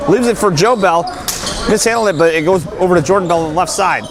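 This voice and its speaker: A man with a high, lifted voice calls a basketball game play by play. He gets excitable on big plays and tosses in light jokes between calls. A loud gym roars and balls bounce right under his mic. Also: fast, background noise. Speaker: play-by-play announcer. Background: crowd and bouncing basketballs.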